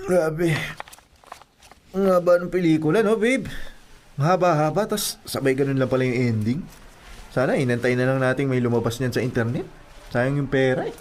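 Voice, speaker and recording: An actor playing an apologetic, exasperated young boyfriend in a Filipino radio drama, pleading in a whiny, colloquial way. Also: male voice, mid-range voice, normal speed, long pauses, darkish tone, a little hiss or hum, lightly acted. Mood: sheepish, casual.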